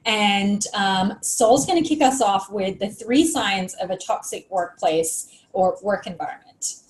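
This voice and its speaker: A woman's voice: medium pitch, unhurried, reverberant, poor audio. A woman co-hosting a live stream, friendly and organized as she runs through the outline, with some ums. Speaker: co-host.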